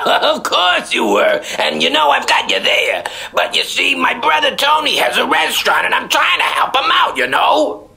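Folksy motel clerk, radio drama, over-friendly salesman, wildly animated, slightly drawn-out delivery, gravelly edge. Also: very high voice, exaggerated voice. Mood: jovial, pushy. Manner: effusive, chuckling, folksy.

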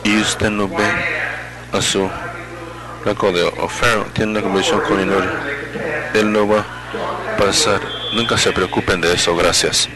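Very deep-voiced male interpreter. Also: level tone, rough recording. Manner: halting, fragmented phrasing with self-corrections. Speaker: simultaneous interpreter. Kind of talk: live interpretation of a public meeting.

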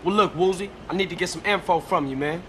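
An actor playing a confident, streetwise young gangster in a video game, casual and slangy. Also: male voice, high-pitched, quick pace, fairly bright tone, slight background noise. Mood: casual, probing. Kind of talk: video game cutscene acting.

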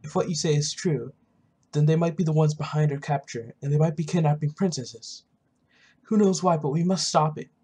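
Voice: mid-range voice, normal speed, dark tone, slightly rough. A gamer voicing an earnest, concerned town merchant in a video game roleplay, reading with emphatic delivery. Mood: grave, earnest.

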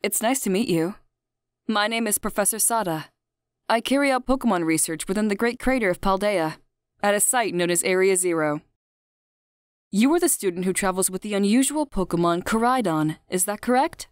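Woman doing a calm formal lady scientist from a video game, precise n polite, really dry recording. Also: medium pitch, moderate pace, smooth, dry recording, close to an everyday voice. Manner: formal, precise, even delivery. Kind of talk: video game character voice reel, scripted.

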